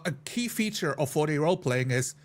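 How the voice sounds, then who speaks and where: A man who plays tabletop games, discussing a session casually in a measured, explanatory way with pauses between phrases. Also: a little high, lively intonation. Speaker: tabletop player.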